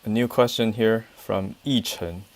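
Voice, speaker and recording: Low-voiced Taiwanese man, easygoing co-host. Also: measured pace, low-quality recording. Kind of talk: casual English teaching chat.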